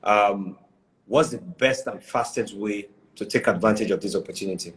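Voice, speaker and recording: A man's voice: lowish voice, normal speed, a bit bright, low-quality recording. A man hosting an interview, asking pointed questions with a playful, prodding manner.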